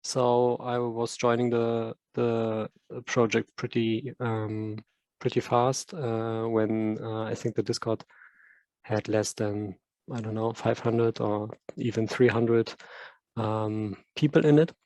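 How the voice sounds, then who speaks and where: Man, monotone delivery, dry close sound, hesitant with fillers. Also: on the low side, slow, no echo. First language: German. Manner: hesitant, many fillers and repeats. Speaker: community moderator. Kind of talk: casual chat on a video call.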